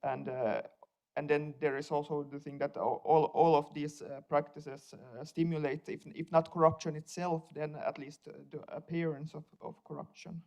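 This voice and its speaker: Halting young man, gravelly voice. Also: fairly high pitch, fairly even intonation, dark tone. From Finland. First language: Swedish. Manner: hesitant, many fillers and repeated words. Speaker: conference speaker, researcher. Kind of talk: academic conference talk.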